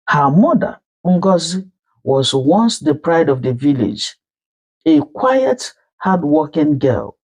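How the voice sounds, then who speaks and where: A storyteller with a Nigerian accent narrating a folktale in an emphatic, theatrical manner with dramatic pauses. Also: mid-range voice, a little mellow, husky, rough recording.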